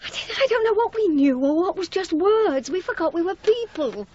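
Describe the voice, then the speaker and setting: A wounded, wry estranged wife with clipped lines. The voice is very high and lively in melody, performed as radio drama, with a dull 1980s broadcast sound and faint noise. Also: female voice, normal speed. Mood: tentative, tender.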